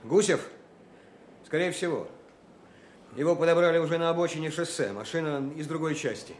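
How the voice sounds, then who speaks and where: An actor playing a deferential army captain giving plain, slightly defensive reports to a superior in a wartime audio drama. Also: male voice, a little high, lightly acted. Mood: matter-of-fact. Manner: plain, hurried military reporting. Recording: roomy echo, faint background noise.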